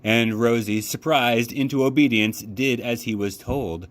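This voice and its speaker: A man reading a children's book aloud as a storytime host, with measured, deliberate phrasing and dramatic emphasis. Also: mid-range voice.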